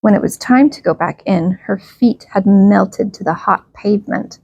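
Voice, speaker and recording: A woman reading a children's bedtime story aloud, expressively and with emphatic, drawn-out phrases. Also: mid-range voice, vocal fry, darkish tone, slightly rough, no echo, lo-fi sound.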